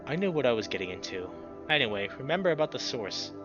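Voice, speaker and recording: Man voicing an earnest, animated male bear. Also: medium pitch, fast talker, lightly acted. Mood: defensive, determined. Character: anxious male bear, gruff but devoted boyfriend. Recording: dull lo-fi sound, music behind.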